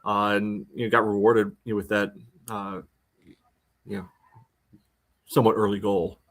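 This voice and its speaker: A man co-hosting a sports podcast, recapping play casually in halting, piecemeal phrases padded with "you know". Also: lowish voice, flat delivery, a bit bright.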